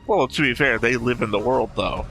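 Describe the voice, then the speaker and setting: A man with a bright, forward voice talks through an episode on his reaction show. He is wry and blunt, picking apart characters, with faint music in the background. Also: mid-range voice, a little fast. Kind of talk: casual reaction chat.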